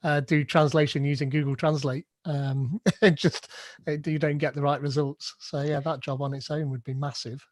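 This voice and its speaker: Chatty male meetup host, fairly high voice. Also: flat delivery, normal speed, no echo, clean studio sound. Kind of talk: casual Q&A hosting.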